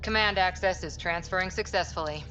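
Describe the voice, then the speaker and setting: An actress playing an earnest, steady young female space explorer in a video game cutscene. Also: fairly low pitch, fairly quick, soft background music, rough recording.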